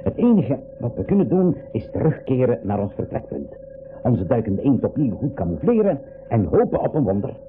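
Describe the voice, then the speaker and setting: A man's voice: mid-range voice, big pitch swings, normal speed, lightly acted. An actor playing an older male professor and captain, a worried leader in a 1980s school radio drama. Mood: alarmed, worried. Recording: dull radio recording with clear noise.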